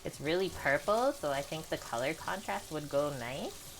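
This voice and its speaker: A young woman with a calm, chatty manner, walking viewers through a home cooking session in her kitchen. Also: low voice, moderate pace, rough recording. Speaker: cooking streamer. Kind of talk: casual cooking demonstration.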